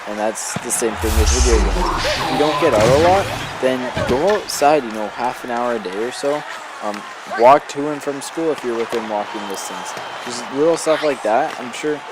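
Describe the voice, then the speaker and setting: A man with a slightly hoarse voice from a cold, giving rambling, casual gameplay commentary full of ums and "you know" as the host of a gaming video. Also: mid-range voice. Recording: steady background noise under the voice.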